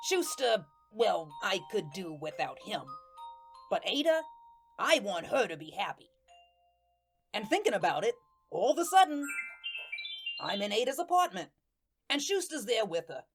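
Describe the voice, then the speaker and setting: A woman playing a wistful, lovesick little male ghost who narrates a radio drama in folksy, rambling slang. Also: medium pitch.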